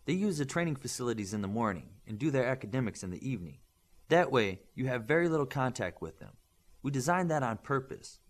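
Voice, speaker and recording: A male narrator plays a stern mentor of young superheroes in an audiobook. He lectures firmly and with principle, leaning on rhetorical questions, his mid-pitched voice rising and falling emphatically. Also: very animated, fairly quick, close to an everyday voice. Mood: earnest, firm. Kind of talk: audiobook character dialogue.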